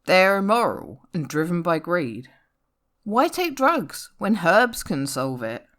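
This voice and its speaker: Woman acting out a preachy New Age woman, animated. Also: on the low side, slow, full character voice.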